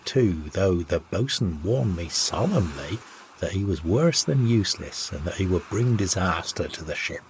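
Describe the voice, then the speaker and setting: A man reading an old sea yarn aloud as a narrator, in an expressive, old-fashioned raconteur's delivery. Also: on the low side, a little hiss or hum. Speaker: audiobook narrator.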